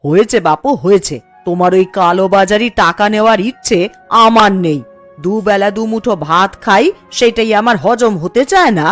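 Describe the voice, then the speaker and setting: Woman doing nagging village mom for a cartoon, rustic and homely, mid pitch, music under it. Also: normal speed, natural voice. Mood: exasperated. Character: scolding, principled village housewife and mother. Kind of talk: Bengali cartoon story acting. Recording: music behind the voice. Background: background music.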